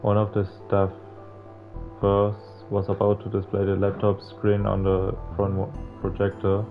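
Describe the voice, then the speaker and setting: A man's voice: fairly low pitch, completely flat delivery, a little slow. A young man streaming a game, reading its text aloud and breaking off into casual, slangy, sweary joking. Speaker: streamer playing a visual novel. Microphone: streamer microphone with face cam. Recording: narrow phone-like audio with music behind. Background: game music.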